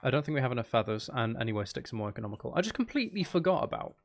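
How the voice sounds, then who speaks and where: A man's voice: medium pitch, big pitch swings, rapid-fire. A man chatting casually and thinking aloud as he hosts a live game stream, talking to his viewers.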